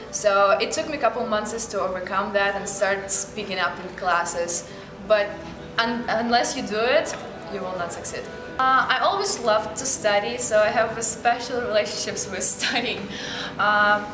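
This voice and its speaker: A woman's voice: a little high, echoey room, poor audio. A young woman from Ukraine with a Ukrainian accent, a university student talking earnestly in an interview testimonial. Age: young adult. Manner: earnest, reflective, with frequent "like" fillers.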